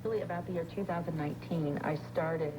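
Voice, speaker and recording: A woman with a very low voice talks quickly and earnestly in a radio discussion. Loud noise hisses over the broadcast. Her words come fast. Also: fairly even intonation. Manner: earnest explanatory delivery. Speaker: radio talk guest.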